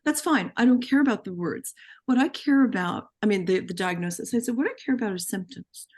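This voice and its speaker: A woman in her sixties, a veteran therapist, chats in a lively way and acts out exchanges with her patients. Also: mid-range voice, a little fast. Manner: animated storyteller acting out her patients' lines. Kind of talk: casual professional discussion.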